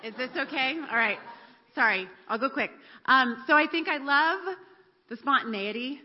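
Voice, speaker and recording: A woman's voice: sits high, expressive, moderate pace. A woman sharing in a group, apologetic and a bit self-conscious, with nervous laughs. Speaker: church group member. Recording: echoey hall, dull sound.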